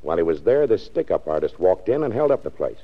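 Skeptical old-radio DA, brisk, lowish voice that swoops around, muffled sound. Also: male voice, big pitch swings. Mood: matter-of-fact. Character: brisk, skeptical male district attorney. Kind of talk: 1940s radio drama acting. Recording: muffled 1940s radio recording.

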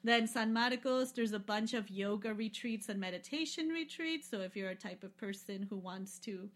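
A woman's voice: a little high, fairly bright tone. Chatty woman with lots of fillers. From lives in Peru. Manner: chatty, many fillers, laughs often. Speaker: podcast guest. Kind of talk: casual travel chat.

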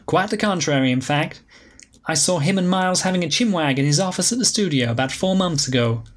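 A man voicing a flirtatious, brash woman who teases and uses pet names, in a husky voice. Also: fairly high pitch, lively intonation, a little fast, pitched above the performer's own voice. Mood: flirty teasing.

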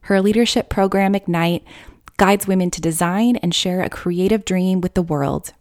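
A woman's voice: fairly low pitch, moderate pace, no echo, clean studio sound. A woman hosting a podcast, reading an upbeat introduction and then chatting casually with her guest over a video call. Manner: warm gushing intro read, then chatty and relaxed. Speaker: podcast host.

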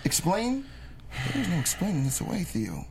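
Guy acting as strict older dad in a period drama, pitch jumps around, some room noise. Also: huge pitch swings, moderate pace, vocal fry, a little mellow, exaggerated voice, poor audio. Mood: angry, incredulous. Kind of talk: table read acting.

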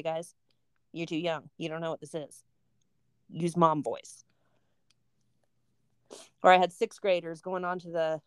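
A middle-aged woman co-hosting a casual chat podcast, an animated storyteller who acts out voices. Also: low-pitched, clear, resonant tone, no echo, clean studio sound. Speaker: podcast co-host and substitute teacher.

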